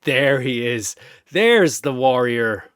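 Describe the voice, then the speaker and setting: A man's voice: mid-range voice, lively intonation, slow, fairly bright tone, smooth, no echo. A man performs a menacing mercenary from a battle story. He talks like a cruel predator, taunting and mocking, in a dry, close recording. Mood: exhilarated.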